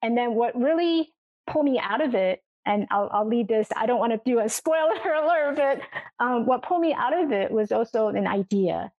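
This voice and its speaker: A woman's voice: on the high side, expressive, moderate pace, muffled recording. A middle-aged woman from Taiwan giving reflective, earnest answers as an interview guest, often repeating words as she finds her phrasing. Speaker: interview guest, coach and memoir author.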